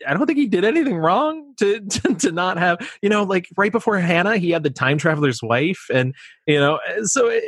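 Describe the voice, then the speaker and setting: A man with a Midwestern American accent co-hosting a casual film podcast, talking in a halting, restarting way. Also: sits high, lively intonation, a bit bright, husky, dry recording, studio quality. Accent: generic Midwestern American. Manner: hesitant, lots of restarts, laughs. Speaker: podcast co-host.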